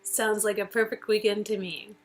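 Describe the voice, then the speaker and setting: A woman's voice: mid-range voice, flat delivery, moderate pace, voice pushed down, close to an everyday voice. A woman playing a playful, affectionate young woman in an interactive story game, with a theatrical, teasing manner. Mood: soft, playful.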